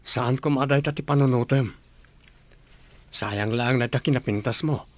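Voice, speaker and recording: A man playing a warm-hearted, easygoing older brother from a poor household in an Ilocano audio drama. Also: medium pitch, moderate pace, no room sound, close to an everyday voice. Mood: caring, teasing.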